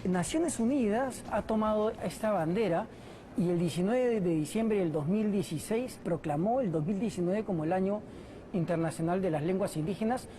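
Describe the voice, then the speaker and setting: A woman being interviewed who explains a point thoughtfully and pauses often with fillers. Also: mid-range voice, over music, low-quality recording. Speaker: interviewed language expert. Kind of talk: interview answer.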